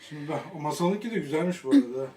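A woman's voice: on the low side, sing-song, racing, gravelly, a crowd in the background. A woman chatting casually during a family game, exclaiming and playfully complaining. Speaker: player in a family eating game. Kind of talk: casual family play chat. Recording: echoey room, faint background noise.

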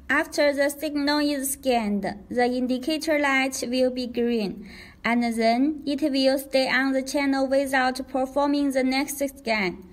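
A woman reading a scripted product tutorial in a careful, deliberate way as a demonstrator. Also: sits high.

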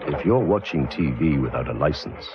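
A man's voice: extremely low pitch, expressive, normal speed. A man reading an ominous scripted announcement as an advert voice-over on an old soundtrack. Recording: dull old soundtrack, very noisy.

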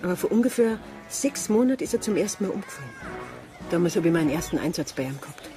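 A plain-spoken village farm helper , played by a woman talks in a Bavarian accent with a worried, sympathetic manner. It is naturalistic radio drama, with music clearly playing behind the voice. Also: fairly low pitch, animated, fast, lightly acted. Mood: troubled. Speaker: actor in a radio crime drama.

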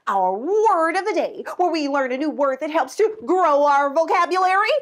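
A woman's voice: high-pitched, lively intonation, moderate pace. A woman playing a zany, exuberant female vocabulary teacher on a children's show, exclaiming theatrically. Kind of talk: children's educational segment.

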